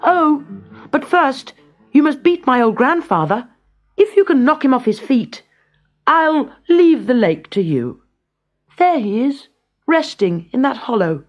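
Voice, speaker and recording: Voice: high-pitched, big pitch swings, unhurried, muffled recording, faint music behind, voice pushed up. An actor voicing a plucky, cunning young peasant lad in a children's storybook, confident and teasing as he bluffs. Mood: sly, confident. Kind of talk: children's storybook character reading.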